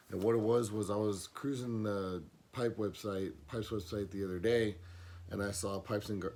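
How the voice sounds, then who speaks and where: Casting: hoarse man with a lowish voice, easygoing rambler, camera-mic sound. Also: a little mellow. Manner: rambling, many fillers and restarts. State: hoarse, losing his voice. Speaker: pipe smoking vlogger. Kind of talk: casual box opening vlog. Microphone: camera mic, no external mic.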